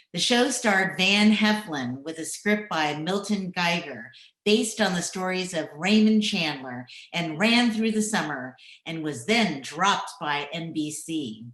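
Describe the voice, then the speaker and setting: A woman's voice: fairly low pitch, fairly bright tone. A woman announcer reading a scripted introduction for an old-time radio drama. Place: indoor room on a video call. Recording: roomy, echoey home recording.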